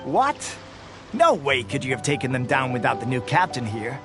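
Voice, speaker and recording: A man's voice: medium pitch, big pitch swings, moderate pace. A man playing an earnest, emphatic, dutiful soldier in an anime video game. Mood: indignant. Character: earnest, defensive male soldier and squad leader. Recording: voice over game music.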